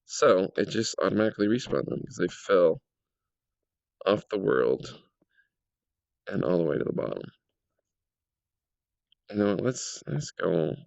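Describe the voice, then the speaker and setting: A man with a low, gravelly voice, a little raspy from a cold. He rambles and thinks aloud with plenty of fillers while he tests things in a game. The sound is dry and a bit dull, from a home mic. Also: expressive, a little slow, pauses often, darkish tone, no echo. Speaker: game world creator. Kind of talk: casual gameplay commentary.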